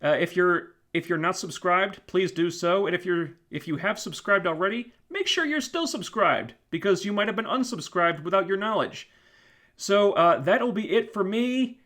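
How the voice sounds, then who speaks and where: A man's voice: high-pitched, animated, moderate pace, fairly bright tone. A man hosting a live stream, losing his voice and rambling with many fillers and restarts as he thanks viewers. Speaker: YouTube channel host. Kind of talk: casual live stream wrap-up.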